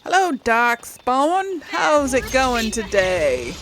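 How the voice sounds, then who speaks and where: A woman's voice: fairly high pitch, sing-song, slow, fairly bright tone, slight background noise. A woman giving casual let's play commentary, thinking aloud as she goes. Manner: thinking aloud, reading quest text aloud.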